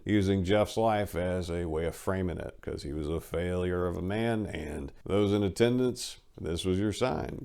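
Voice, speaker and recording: A man's voice: low voice, gravelly, darkish tone. A man telling a personal story in a blunt, folksy, slangy way.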